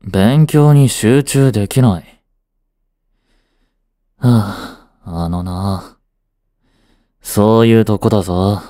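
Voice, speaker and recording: Man playing a low, mellow, aloof teenage boyfriend. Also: no room sound, clean studio sound. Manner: cool, curt, nonchalant teasing. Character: aloof, dry, secretly fond teenage boyfriend. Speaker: voice actor. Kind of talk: romantic situation voice drama, one-sided dialogue.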